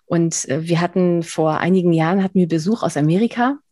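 A woman's voice: fairly low pitch, brisk, dark tone, no room sound, clean studio sound. A woman from Spain hosting an interview, talking in a chatty, effusive way and laughing often. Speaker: podcast host. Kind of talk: casual interview chat.

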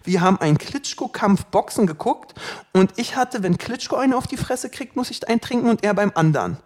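A man in his twenties from Berlin with a light Berlin accent, telling stories from his life as an interview guest, quick and joking, laughing often. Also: sits high, animated. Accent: light Berlin German. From Berlin, Germany. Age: 20s. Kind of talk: casual storytelling interview.

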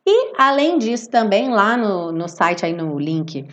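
A Brazilian woman with a medium-pitched voice, a little hoarse from a cold. She talks informally to viewers as a teacher on a livestream, in an expressive, affectionate and chatty way. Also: measured pace, lo-fi sound. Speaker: English teacher hosting a live online music-based class.

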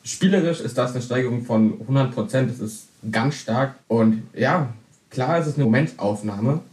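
A Berlin-born man joins a football podcast as a guest, giving brisk, factual analysis in short, clipped sentences. The recording carries a roomy echo around his voice. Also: mid-range voice, darkish tone. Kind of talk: casual football podcast chat.

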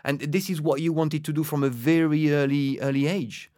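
A man's voice: fairly high pitch, fairly even intonation, a little mellow. A man, a podcast host, chatting playfully with a guest. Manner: playful, musing, drawn-out exclamations. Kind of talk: casual interview chat.

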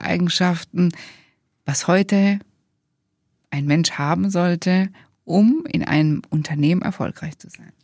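A host with a medium-pitched voice leads a relaxed interview conversation. The questions are colloquial and often repeat or sum up the guest's words. The sound is dry and free of room echo.

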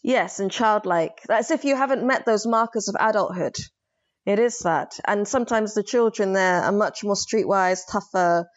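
A woman from Somalia speaking thoughtfully in a casual book conversation, pausing and restarting as she reflects. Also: medium pitch. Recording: slightly dull lo-fi sound.

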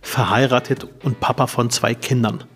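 A man's voice: medium pitch, fairly even intonation, moderate pace, a bit bright, husky, faint music behind. A man in his forties from Berlin, a politician hosting an interview, friendly and curious as he asks his questions. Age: 40s. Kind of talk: interview with a casual opening.

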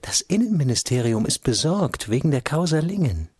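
An actor playing a senior official in a radio drama, formal and insinuating, with veiled menace and a husky voice. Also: male voice, a little high, very animated, moderate pace, a little mellow, dry recording, clean studio sound. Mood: veiled threat.

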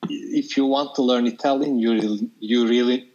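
A man's voice: medium pitch, fairly even intonation, normal speed, darkish tone. Turkish-accented young man on a muffled call. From Turkey. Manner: hesitant, with frequent uh and light laughs. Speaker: interview guest. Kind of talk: casual video-call chat.

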